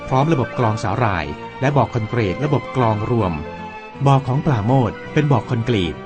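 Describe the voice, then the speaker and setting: Male documentary narrator over clear music. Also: mid-range voice, brisk. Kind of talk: scripted narration.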